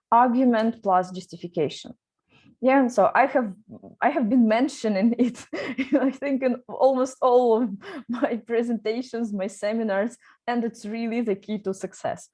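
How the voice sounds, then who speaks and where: A woman's voice: mid-range voice, a little mellow. A woman running an online seminar for teachers, talking with many fillers and restarts. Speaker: teacher trainer.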